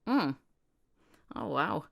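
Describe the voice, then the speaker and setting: A woman's voice: low voice, very animated, very slow, gravelly, a bit bright. A woman hosting a podcast interview in a casual way, often laughing and restarting her questions as she goes. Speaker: podcast host.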